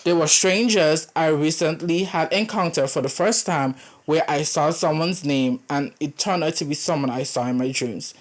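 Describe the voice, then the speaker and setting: A woman's voice: deep voice, moderate pace, hardly any pauses. A young woman, reading horror stories aloud and breaking in with dramatic, reactive commentary, often stumbling over the typos. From Caribbean.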